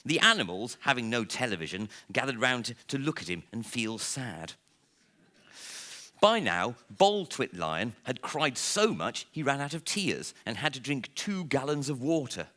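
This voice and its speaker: A man reading a comic children's story aloud to listeners in a theatrical, playful way, with dramatic pauses. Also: medium pitch, sing-song, sharp tone. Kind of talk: expressive live storybook reading.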